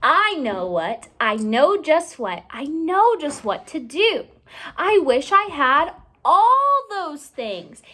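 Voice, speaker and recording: A woman with a high voice reads a children's story aloud. Her pitch rises and falls in a lively way, and she takes her time, stretching words out for young listeners. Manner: expressive, deliberate phrasing with drawn-out words for young listeners. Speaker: teacher reading a storybook aloud. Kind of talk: children's read-aloud narration.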